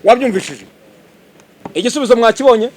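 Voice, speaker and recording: A man presenting on site, talking in a lively way and quizzing the people around him with questions. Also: very high voice, quick pace, a little hiss or hum. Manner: animated, quizzing listeners. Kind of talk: lively on-site promo talk.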